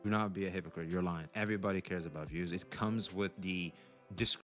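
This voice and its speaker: A man's voice: sits low, steady tone, a little fast, soft background music. A man giving opinionated commentary in an emphatic, scolding way.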